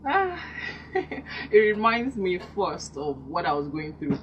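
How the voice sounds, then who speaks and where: A woman's voice: fairly low pitch, wildly swooping pitch, slow pace. A woman from Delta State with a Nigerian accent, a gospel singer speaking earnestly and devoutly as a radio guest. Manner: soft-spoken, earnest, devout. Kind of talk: radio interview. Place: radio studio. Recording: lo-fi broadcast, faint noise.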